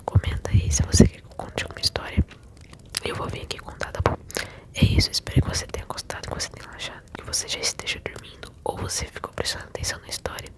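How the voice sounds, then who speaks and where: A Brazilian woman telling a story in a soft, intimate, soothing ASMR whisper. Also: fast. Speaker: ASMR video creator telling game stories.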